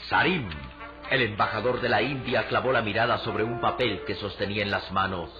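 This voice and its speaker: A man's voice: lowish voice, steady tone, a little fast. A man narrating a scripted radio serial in a solemn, declamatory way. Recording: muffled old radio drama recording. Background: prominent music.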